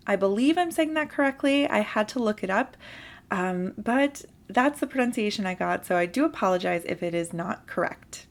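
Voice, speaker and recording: A young adult woman with a slight sore throat hosting a card-making tutorial and calmly explaining each step. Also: mid-range voice. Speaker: papercraft tutorial host. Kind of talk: instructional voice-over for a crafting video.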